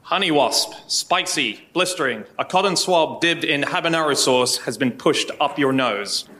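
A man's voice: on the high side, expressive, unhurried, pushed and bright. A man reading short poetic descriptions dramatically at a live event.

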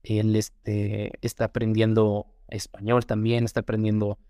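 A man's voice: fairly low pitch, monotone, measured pace, warm tone, no room sound, clean studio sound. A young Mexican man with a Mexican accent, a guest talking earnestly and motivationally in a casual interview, often repeating words as he gathers his thoughts. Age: young adult.

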